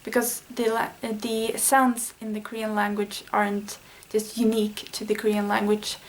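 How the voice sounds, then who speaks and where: A woman's voice: mid-range voice, monotone, moderate pace, a little hiss or hum, poor audio. A Swedish-speaking woman explaining language study in a casual, earnest vlog lesson, thinking aloud with small fillers. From Sweden. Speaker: language learning vlogger.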